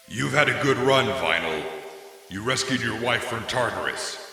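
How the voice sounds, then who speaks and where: A man's voice: mid-range voice, animated, normal speed, gravelly, pushed and bright. A man playing a skeletal pony Grim Reaper in an audio drama, dry, polite and matter-of-fact. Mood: coaxing. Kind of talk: acted audio drama of a fan fiction. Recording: echoey hall reverb with music.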